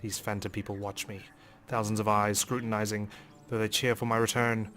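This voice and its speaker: Low-voiced man narrating dramatically. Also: little pitch movement, a little fast, a bit bright, soft background music. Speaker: let's player. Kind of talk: reading game narration aloud dramatically.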